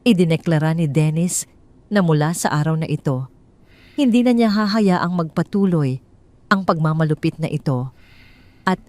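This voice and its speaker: A woman with a Filipino accent narrating a scripted dramatic story in a measured, formal storytelling manner. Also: deep voice, darkish tone, no echo.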